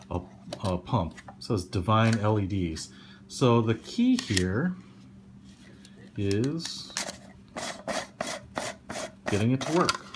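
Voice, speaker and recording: A man's voice: mid-range voice, a little hiss or hum. A young man giving a casual, rambling unboxing review indoors, with lots of fillers and slangy asides. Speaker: product reviewer. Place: small indoor room.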